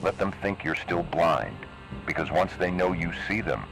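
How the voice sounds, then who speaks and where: A man voicing a cold, menacing emergency broadcast announcer in slow, measured, ominous narration. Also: low-pitched, expressive, vocal fry. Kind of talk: scripted analog horror narration. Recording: noisy analog video recording.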